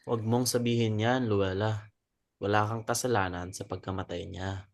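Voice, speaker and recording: A man's voice: on the low side, steady tone, normal speed, close to an everyday voice. A man playing a supportive club member in a dramatic reading, firm and reasoning. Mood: consoling. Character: supportive, reasoning male club member Rocky defending a widow. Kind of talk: dramatic stage reading in Tagalog.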